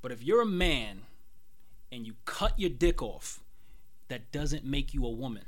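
A man's voice: medium pitch, very animated, unhurried, fairly bright tone. A young man co-hosting a casual group chat, blunt and opinionated, often repeating himself.

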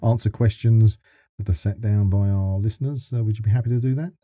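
A man with a fairly low and extremely mellow, dark voice hosts a podcast. He talks casually and thinks aloud, wordy in his phrasing. The sound is dry, with no room echo. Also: steady tone, fairly quick. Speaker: podcast co-host and interviewer. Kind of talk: casual podcast interview and chat.

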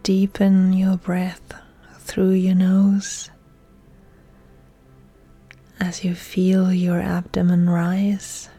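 A woman yoga instructor leading a guided relaxation in a soft, breathy, soothing, hushed voice that often nears a whisper. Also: lowish voice, completely flat delivery, takes it slowly, faint music behind.

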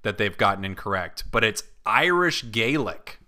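Man, young adult, podcast host, bright tone, playful joking delivery. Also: on the low side, sing-song, moderate pace. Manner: playful, joking, reads posts aloud. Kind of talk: casual comedic podcast chat.

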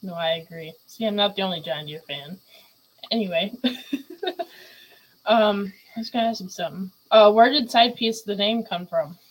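A woman's voice: mid-range voice, normal speed, rough recording. A chatty woman hosting a casual talk show, laughing often as she asks questions. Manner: giggly, chatty. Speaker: podcast host. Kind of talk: casual chat.

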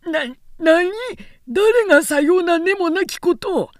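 A woman voicing an irascible old samurai chief retainer in an audiobook, with forceful, pompous, archaic speech. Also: very high-pitched, slow pace, no echo, studio quality, pitched above the performer's own voice. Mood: indignant, flustered.

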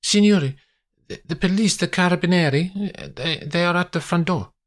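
A man voicing a flustered Italian housemaid with an Italian accent, halting and repeating words as if breathless. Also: high voice, pitched above the performer's own voice. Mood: flustered, urgent. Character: flustered Italian housemaid woman rushing in with news.

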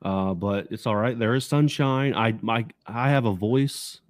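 Low-voiced man hosting a sports podcast. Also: unhurried, no room sound, clean studio sound. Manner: upbeat, excited. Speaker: podcast co-host. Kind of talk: casual sports podcast chat. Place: home basement studio.

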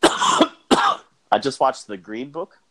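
A man's voice: medium pitch, lively intonation, drawn-out words, bright, forceful tone. A young man co-hosting a podcast, talking in a laid-back way and swearing freely. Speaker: podcast co-host. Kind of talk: casual chat.

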